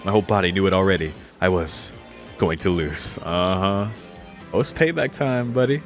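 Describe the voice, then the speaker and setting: A man's voice: deep voice, normal speed, faint music behind. A man streaming a visual novel, reading it aloud and reacting in a casual, amused, informal way. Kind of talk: casual let's play commentary with read-aloud passages.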